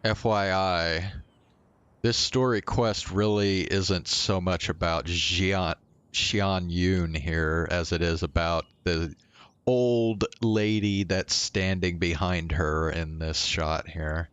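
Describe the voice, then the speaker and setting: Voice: low voice, steady tone, takes it slowly, a bit bright, smooth, no room sound. A game streamer chatting casually over gameplay in a slangy, laid-back way, with game music behind. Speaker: live streamer.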